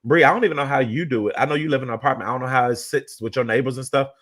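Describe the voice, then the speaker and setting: A man with a deep voice hosting a casual chat, talking in a laid-back, rambling way. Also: very fast, fairly bright tone. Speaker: host.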